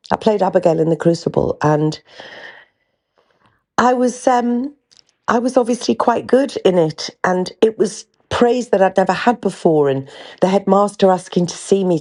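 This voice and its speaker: A woman's voice: fairly low pitch, normal speed, dark tone. An older woman from North East England with a faint Geordie accent, hosting a podcast and chatting candidly with frequent ums and restarts. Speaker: podcast host. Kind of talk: candid casual storytelling.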